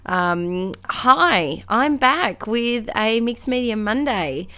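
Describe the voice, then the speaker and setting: A young Australian woman with a slightly croaky voice, chatting casually in a rambling, self-deprecating way as she narrates her scrapbooking. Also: fairly high pitch, expressive, extremely slow, pushed and bright. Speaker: scrapbooking vlogger.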